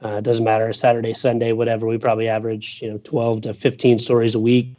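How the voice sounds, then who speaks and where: A man's voice: mid-range voice, completely flat delivery, quick pace, mellow, highs cut off. A man chatting casually and informally as an interview guest, rambling a little as he goes. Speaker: guest, boating news journalist. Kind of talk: casual chat.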